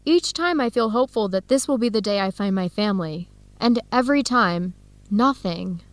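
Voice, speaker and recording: A woman's voice: medium pitch, expressive, normal speed, dry recording, lightly acted. A young woman acting an earnest, disheartened student in her early twenties in a radio drama, enunciating carefully for language learners. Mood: discouraged. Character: disheartened 22-year-old Ethiopian-American woman student, earnest, torn.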